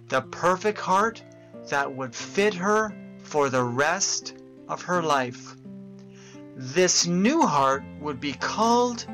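Slow, gentle children's storyteller. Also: mid-range voice. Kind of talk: telling a children's story in church.

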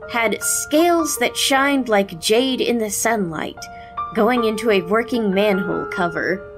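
A woman's voice: mid-range voice, lightly acted. A young woman playing a concerned, frank confidante in an audio drama, with a firm, reasoning delivery. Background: music.

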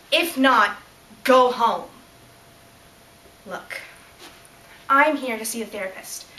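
Teen actress as fussy germophobe, high and bright. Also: female voice, heavily acted. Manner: exasperated, emphatic. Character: fussy, indignant germophobe therapy patient. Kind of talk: comic stage monologue. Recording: echoey room, distant mic, faint noise.

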